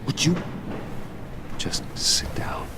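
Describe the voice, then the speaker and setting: A male actor playing a guarded, stern, composed man in a video game, speaking in a measured, restrained way. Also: noisy background, close to an everyday voice.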